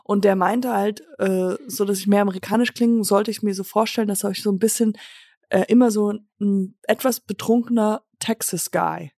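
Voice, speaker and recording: A young woman from Germany co-hosts a casual podcast, talking in loose, rambling bursts and slipping into English now and then. Her voice sits in a middle pitch and is recorded close and dry, with no room sound. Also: steady tone, moderate pace, warm tone, no echo. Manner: chatty, rambling, giggly, drops English phrases. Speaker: podcast co-host. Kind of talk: casual chat.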